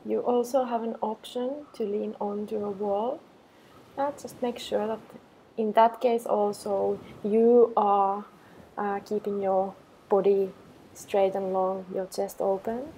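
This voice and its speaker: Woman, meditation teacher, very slow pace, soft mellow tone, calm, some creak, faint background noise. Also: medium pitch, poor audio. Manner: calm, unhurried, gentle pauses. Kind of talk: guided meditation, slow instructions.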